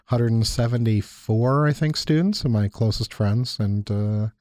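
A man's voice: mid-range voice, a little slow, darkish tone, no echo, studio quality. A man co-hosting a casual chat, talking in a reflective, thoughtful way. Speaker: university lecturer and podcast co-host.

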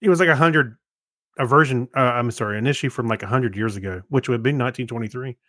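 A man co-hosting a casual podcast, reading items and chatting haltingly, often stumbling over his words. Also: mid-range voice, fairly bright tone, no echo, studio quality. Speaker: podcast co-host.

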